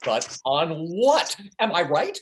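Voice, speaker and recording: A man playing an excitable, paranoid and spaced-out friend in a comic play reading over a video call, with theatrical delivery. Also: on the high side, slow pace. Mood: suspicious, insistent. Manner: theatrical, wildly swooping delivery. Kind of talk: comic stage script table read. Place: home, online video call.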